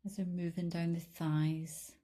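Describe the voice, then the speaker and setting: Female meditation guide, lowish pitch and very slow delivery, calm and soothing, with generous pauses. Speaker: meditation guide, life coach. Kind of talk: guided body scan meditation, instructional.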